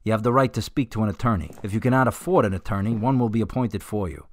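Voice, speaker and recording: A man playing a police officer in a radio drama, official, measured and matter-of-fact. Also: fairly low pitch, darkish tone, lightly acted. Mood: stern, official.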